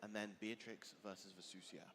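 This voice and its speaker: A man's voice: lowish voice, level tone, fairly quick, fairly bright tone. A man giving live fighting game commentary and analysis, articulate and emphatic, with rather formal phrasing. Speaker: esports tournament commentator. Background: clear background music.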